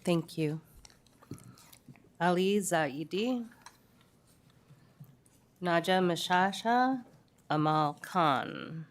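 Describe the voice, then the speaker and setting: A woman chairing a council meeting, calling up speakers with halting, apologetic remarks over hard-to-read names. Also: lowish voice, expressive. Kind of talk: meeting procedure.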